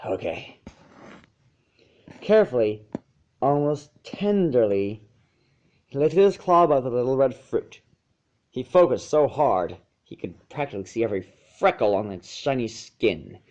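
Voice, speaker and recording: A man's voice: mid-range voice, lively intonation, moderate pace, darkish tone, dull audio. A man reading a story aloud as narrator, sometimes stumbling over and repeating phrases. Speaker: narrator of fan fiction.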